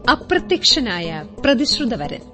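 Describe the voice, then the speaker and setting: A female radio drama announcer reads credits at a very unhurried pace. Her mid-pitched voice moves with animated intonation, and loud music plays beneath it. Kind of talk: scripted closing credits announcement.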